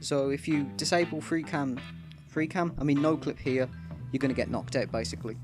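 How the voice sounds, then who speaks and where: A man's voice: medium pitch, fairly even intonation, fairly quick, music in the background. A man hosting a gaming video with casual, explanatory commentary.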